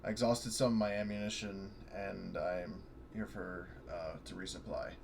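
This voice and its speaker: A man voicing a male soldier who reports a firefight in a halting way, with many fillers. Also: low-pitched, little pitch movement, vocal fry, darkish tone, slight background noise. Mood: urgent.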